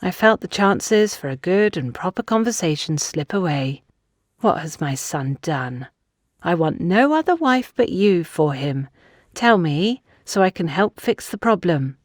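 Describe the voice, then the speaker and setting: An older, meddling society mother from an audiobook , voiced by a woman narrator. She is chatty, dramatic and insistent, with swooping, lively intonation on a dry recording. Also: on the low side, unhurried, no room sound. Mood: probing.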